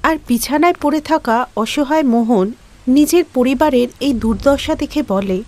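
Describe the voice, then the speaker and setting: High-pitched woman narrating a story. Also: moderate pace, a little mellow.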